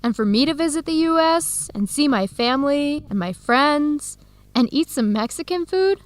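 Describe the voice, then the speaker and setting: Young female researcher for educational radio drama: warm, upbeat, fairly high voice, clear simple lines. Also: lively intonation, normal speed, no room sound. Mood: excited, encouraging. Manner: clear, simple phrasing for English learners.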